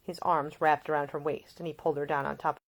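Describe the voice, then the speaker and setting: A woman's voice: low voice, little pitch movement, fast. A woman reading her own novel as scripted audiobook narration.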